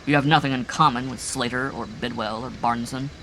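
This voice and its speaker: A man voicing a young male doctor who asks sharp, pressing questions. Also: medium pitch, fairly even intonation, a little fast, a little hiss or hum, natural voice, rough recording. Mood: accusing.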